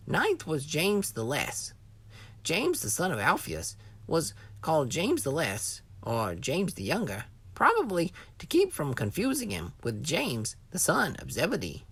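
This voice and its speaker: A woman's voice: deep voice, slightly rough, no echo. A woman reading scripted educational narration as the narrator of a children's Bible lesson.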